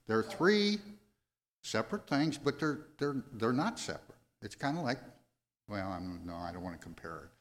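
An American man in his seventies preaching a sermon, going verse by verse in a halting, deliberate way and repeating phrases for emphasis. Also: on the low side. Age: 70s. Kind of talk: sermon, verse-by-verse Bible exposition.

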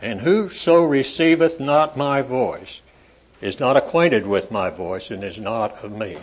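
A man preaching to a congregation in an evening meeting, reading scripture aloud with rising emphasis and talking with halting fillers in between. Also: mid-range voice, animated. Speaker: lay preacher. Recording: dull lo-fi recording.

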